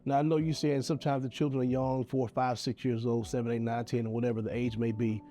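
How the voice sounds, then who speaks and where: A man's voice: medium pitch, little pitch movement, dark tone, faint music behind. A man, congested and nasal from a sinus infection, speaking earnestly to camera with a heartfelt plea to parents. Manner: earnest, pleading, measured. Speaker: video creator giving advice. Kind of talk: earnest direct-to-camera message.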